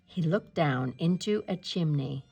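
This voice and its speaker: Slow, lively woman reading a storybook. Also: low-pitched, creaky, breathy edge, muffled recording. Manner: animated, with asides to young listeners. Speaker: storyteller reading a children's picture book aloud. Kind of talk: expressive read-aloud narration.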